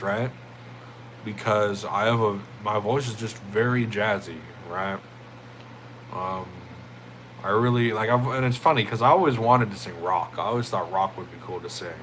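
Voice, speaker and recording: Young male livestreamer, deep jazzy voice, low-pitched, rambling casual chat, steady air conditioner hum behind. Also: normal speed, rough recording. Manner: laid-back, rambling, thanks chatters as "my friend" and "bro".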